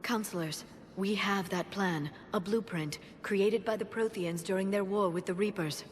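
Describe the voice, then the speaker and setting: A woman playing an earnest, careful researcher in a video game, explaining in a measured way. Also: medium pitch, a little hiss or hum, close to an everyday voice. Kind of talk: dramatic video game acting. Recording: echoing hall, faint background ambience.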